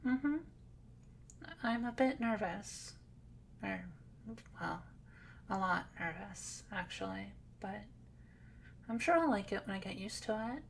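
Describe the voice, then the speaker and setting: A woman plays a sweet, timid catgirl in an ASMR roleplay. Her speech is slow and hesitant, breaking into nervous stops, with a crackly fry in it. There is audible noise and rumble behind her. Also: expressive. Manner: hesitant, halting, nervous stammer. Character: timid, sweet young catgirl adopted from a shelter.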